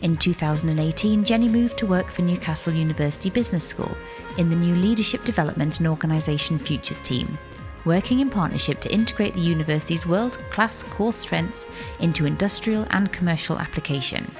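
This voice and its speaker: A woman co-hosting a podcast in a studio, reading a guest introduction and chatting briskly. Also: on the low side, music in the background. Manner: brisk, reads the guest bio then chats. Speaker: podcast co-host.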